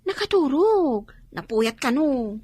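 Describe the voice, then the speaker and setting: A woman's voice: high voice, sing-song, slow pace, a little mellow, slightly rough, no room sound, full character voice. A woman with an Ilocano accent playing a coaxing young niece in a radio comedy drama. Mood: teasing. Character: young niece wheedling money from her aunt.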